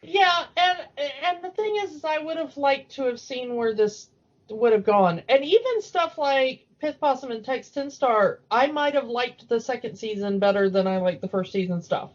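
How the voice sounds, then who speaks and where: High-voiced woman co-hosting a podcast. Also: expressive, moderate pace. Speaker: podcast co-host. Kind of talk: casual podcast discussion. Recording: dull lo-fi audio.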